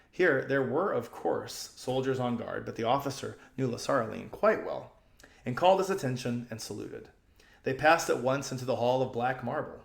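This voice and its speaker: A man reading a children's story aloud as an expressive audiobook narrator. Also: medium pitch, creaky, roomy sound.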